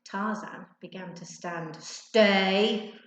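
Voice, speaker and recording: Woman teacher reading a kids story, slow n expressive, echoey room. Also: fairly low pitch. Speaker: teacher reading to her class. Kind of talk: expressive children's storybook narration. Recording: echoey, roomy sound.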